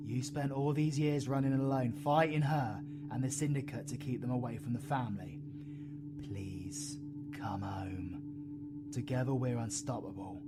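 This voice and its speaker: A man with a British accent playing a brooding, foul-mouthed dark-magic supervillain in an audio roleplay, intense and full of slang. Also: mid-range voice, natural voice. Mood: pleading, subdued. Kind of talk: dramatic audio roleplay acting.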